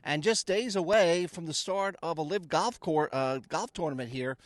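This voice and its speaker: A man's voice: on the high side, normal speed, slight background noise. A man reporting live on camera as a TV news reporter.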